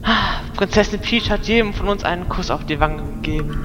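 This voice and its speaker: Lowish-voiced casual woman over music. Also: fairly quick. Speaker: podcast cast member in an amateur audio play. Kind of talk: casual chat and amateur radio-play acting.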